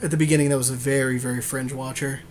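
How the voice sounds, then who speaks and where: Man, average pitch, casual podcast game commentary and banter, faintly noisy recording. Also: little pitch movement, quick pace. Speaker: co-host of a card game podcast.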